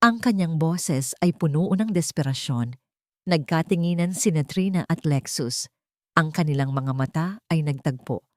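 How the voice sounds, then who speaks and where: A woman's voice: sits low, moderate pace, darkish tone, no room sound, studio quality. A woman narrator reading a scripted story in a dramatic style.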